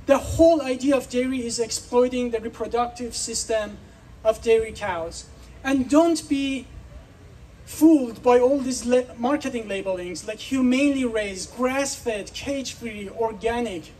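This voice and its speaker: A man's voice: very high-pitched, unhurried, darkish tone, distant mic, poor audio. A man from Iran giving an earnest, persuasive speech at an outdoor festival, putting questions to the crowd. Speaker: speaker.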